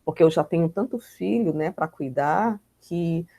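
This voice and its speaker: A Brazilian woman lectures in a didactic but conversational manner, with frequent tag questions. Her voice is mellow and dark, with a gravelly creak running through it. The sound is close and dry. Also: fairly low pitch, animated, measured pace, no room sound. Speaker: law lecturer. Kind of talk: informal online lecture explaining with examples.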